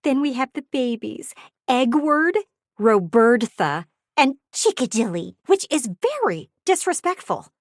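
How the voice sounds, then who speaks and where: A vain, nosy talking window, a female video game character. Her voice sits fairly high and swings widely in melody, full of theatrical fretting and teasing. The sound is close and dry, with no room around it. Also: big pitch swings, a little slow, no room sound, heavily acted. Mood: scandalized, dramatic.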